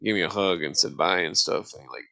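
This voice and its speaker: A middle-aged man with a low, bright voice that breaks into fry. He tells casual, rambling stories haltingly, circling back on himself in profane, slangy language.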